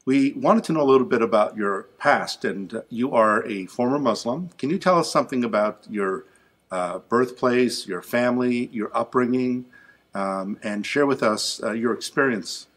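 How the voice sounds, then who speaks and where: A man hosting an interview, asking calm, measured questions with a few fillers. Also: medium pitch. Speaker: host.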